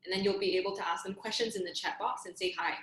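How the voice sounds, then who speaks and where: A woman's voice: mid-range voice, fairly even intonation, fast, fairly bright tone, echoey room, low-quality recording. A woman from Singapore, hosting a video call and introducing judges, upbeat and full of "um" fillers. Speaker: host.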